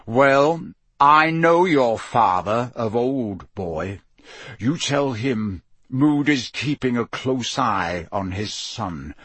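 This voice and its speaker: A man voicing a gruff, menacing old wizard teacher in an audiobook, with a gravelly growl and clipped, barking delivery. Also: medium pitch, animated, slow, a bit bright, dry recording, heavily acted. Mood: quiet menace.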